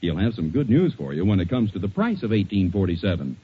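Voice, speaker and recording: An American male radio announcer of the 1940s with a husky voice of medium pitch. He reads scripted copy with animated, persuasive polish. The old recording sounds muffled. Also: fast. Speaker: announcer and narrator.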